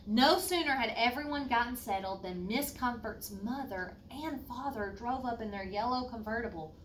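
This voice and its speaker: A woman teacher reading a children's picture book aloud in an expressive way, with asides to young listeners. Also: on the high side, moderate pace. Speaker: fourth grade teacher. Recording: roomy, distant mic, faint noise.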